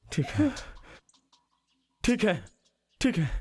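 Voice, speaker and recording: An actor playing a scornful, ruthless gang member, mocking and insistent, in a heated drama. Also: male voice, sits high, very animated, very slow, darkish tone, slightly rough, full character voice. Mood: sarcastic. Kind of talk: heated film-style drama acting.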